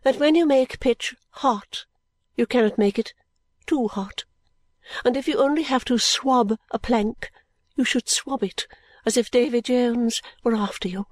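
A proud, self-assured middle-aged lady holding forth in a storybook reading, her voice slightly raised and stately, as a woman narrator puts her on. She lectures in measured phrases, recorded dry and close. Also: on the high side, no echo. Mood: lecturing. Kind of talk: audiobook reading.

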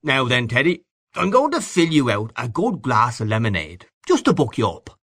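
A man's voice: mid-range voice, wildly swooping pitch, normal speed, a bit bright, no room sound, clean studio sound, voice pushed up, heavily acted. A man voicing a jovial older man who teases in a sly, confidential, over-familiar way in an audiobook. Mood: jovial coaxing.

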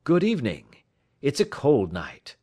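Audiobook part: shrewd gentleman sleuth, played by a man, nonchalant and courteous, pitched up with a lilting swing. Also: huge pitch swings, unhurried, husky, heavily acted. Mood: polite, probing. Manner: careless, polite, lilting. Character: cool, shrewd gentleman detective needling for information. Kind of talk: audiobook character dialogue.

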